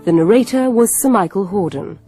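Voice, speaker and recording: A woman's voice: medium pitch, animated, a little fast, warm tone, faint music behind. A woman reading a scripted announcement as a radio continuity announcer, in a formal, orderly way.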